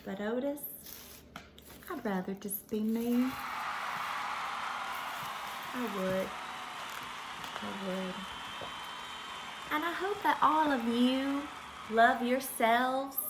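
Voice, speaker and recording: A woman's voice: on the high side, extremely slow, pauses often, very noisy. A woman voicing a sweet, folksy little female pony, telling her story dramatically and earnestly to children. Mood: relieved, earnest.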